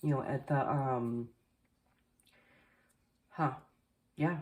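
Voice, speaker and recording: A woman giving a casual tarot card reading, chatty and rambling with lots of fillers, a little nasal and hoarse from allergies. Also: extremely low pitch, fairly even intonation, extremely slow, a little mellow. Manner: chatty, rambling with many fillers, occasional coughs. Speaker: tarot reader. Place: indoors at a table at home. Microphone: camera facing the table, speaker mostly off screen.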